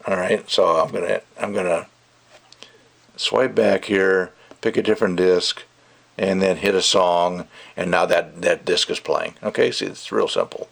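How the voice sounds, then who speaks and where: Rambling man with a creaky voice. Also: deep voice, fairly even intonation, measured pace, a bit bright. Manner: rambling, opinionated. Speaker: amateur product reviewer. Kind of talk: casual rambling review chat.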